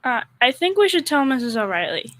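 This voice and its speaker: A woman's voice: high voice, very animated, brisk, a bit bright. A young woman playing a naive, easily frightened roommate in an old-time radio comedy style. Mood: naive, earnest.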